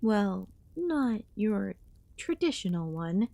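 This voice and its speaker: Woman voicing a slow-speaking, possessive ancient alien queen. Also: medium pitch, big pitch swings, gravelly, dry recording, exaggerated voice. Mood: solemn, regal. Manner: soft, teasing, menacing, drawn-out pauses. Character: ancient yandere alien queen, last of her kind, condescendingly affectionate. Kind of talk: ASMR audio roleplay.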